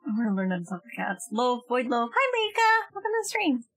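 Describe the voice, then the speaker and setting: A woman's voice: a little high, big pitch swings, a little fast, fairly bright tone, faint music behind. A woman livestreamer chatting casually as she plays a game, on a close mic. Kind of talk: casual game stream chat.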